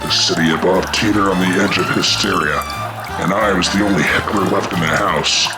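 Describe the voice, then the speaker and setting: A hard-boiled dragon detective, acted by a man narrates a noir radio play in a low voice. He is grim and dogged, clipping his dramatic lines over loud music, in an echoey space. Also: steady tone, natural voice. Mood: grim, resolute. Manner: terse, dramatic, deliberate phrasing. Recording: echoey, with loud music and dripping water behind.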